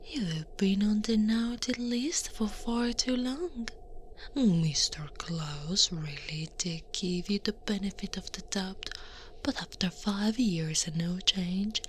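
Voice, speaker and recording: An Italian woman voicing a towering Krampus monster woman, a stern yet soothing captor, in a breathy ASMR whisper. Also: mid-range voice, lightly acted. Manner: slow, deliberate, coaxing and menacing. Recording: noisy ambient background.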